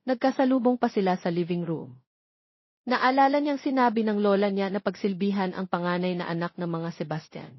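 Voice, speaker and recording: A Filipino woman reading a scripted romance story as its narrator. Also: medium pitch. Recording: dull, roomy, lo-fi audio.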